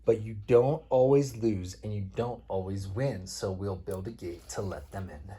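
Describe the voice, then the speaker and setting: A man reading picture books aloud as a father at bedtime, playfully pausing to chat with his child. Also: lowish voice, dark tone, slightly rough. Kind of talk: read-aloud picture book storytelling mixed with casual chat.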